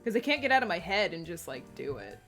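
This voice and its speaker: A woman's voice: fairly low pitch, sing-song, quick pace, a bit bright. Informal, rambling woman over music. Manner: informal, rambling, frequent swearing. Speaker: co-host of a live stream chat show. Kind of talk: casual chat.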